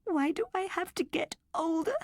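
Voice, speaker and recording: A woman's voice: big pitch swings, normal speed, creaky, darkish tone, no echo, studio quality, heavily acted. A woman doing a tearful little girl. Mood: tearful pleading. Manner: high, pleading, sobbing. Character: tearful little girl, devoted to her robot.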